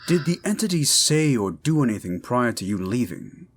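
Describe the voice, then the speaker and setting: Man playing a confident, animated folklorist ghost hunter. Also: mid-range voice, moderate pace. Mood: matter-of-fact. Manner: explanatory, assured. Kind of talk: audio drama acting.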